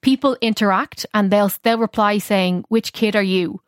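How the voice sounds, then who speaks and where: A woman's voice: medium pitch, moderate pace, no room sound, clean studio sound. A woman with an Irish accent speaking as a technology correspondent, explaining things in an informal, conversational radio style.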